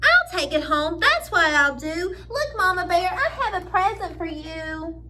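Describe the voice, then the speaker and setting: A woman's voice: very high-pitched, animated, measured pace, heavy background noise, pitched above the performer's own voice. A woman voicing an eager, jolly male bear from a children's storybook, homely and exclamatory.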